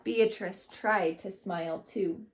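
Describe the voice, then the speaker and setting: A woman educator giving an expressive read-aloud storytelling lesson for children, acting out the characters' lines. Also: mid-range voice, slow pace. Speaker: school educator reading to children.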